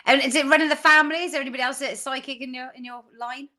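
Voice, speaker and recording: A woman's voice: sits high, fairly even intonation, racing, sharp tone. A woman from South Wales hosting a podcast, upbeat and chatty as she asks questions. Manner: upbeat, chatty, laughs easily. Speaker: podcast host. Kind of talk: podcast interview.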